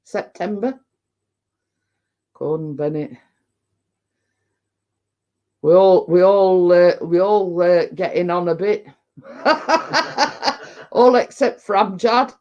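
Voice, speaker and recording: A woman's voice: lowish voice, slow pace, long pauses, a little mellow, lo-fi sound. A Lancashire woman in her fifties chatting casually on a home livestream, reading out viewer comments and rambling with frequent laughs. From Lancashire, England. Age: 50s. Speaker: livestream host.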